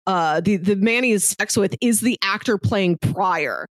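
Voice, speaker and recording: Woman cohost just chatting on a podcast, normal pitch, really dry recording. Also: a little slow, no room sound. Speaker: podcast co-host. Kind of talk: casual chat, film discussion.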